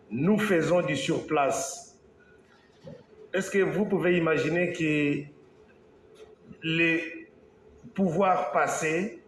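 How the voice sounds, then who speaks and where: A man from Congo, an engineer, giving a slow, halting talk to an audience, with pauses and restarts. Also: a little high. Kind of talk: speech to an audience. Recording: echoey hall, faint background noise.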